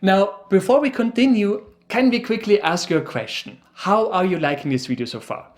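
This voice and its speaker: A man's voice: high voice, moderate pace. A man with an Austrian accent, presenting an educational video as the science expert, with dry, teasing humor.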